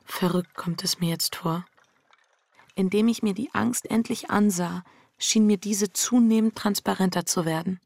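Husky, introspective wife, creaky-voiced. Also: female voice, mid-range voice, measured pace, darkish tone, no room sound. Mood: reflective, hushed. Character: reflective, troubled married woman facing her fear and guilt. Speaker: lead actress and first-person narrator. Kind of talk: intimate radio drama acting.

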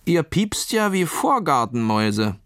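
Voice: mid-range voice, very animated, measured pace, fairly bright tone, no echo, pitched above the performer's own voice. A storyteller voicing a haughty, suspicious male fox with a mocking, nagging way of talking. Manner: mocking, nagging, rattles off questions.

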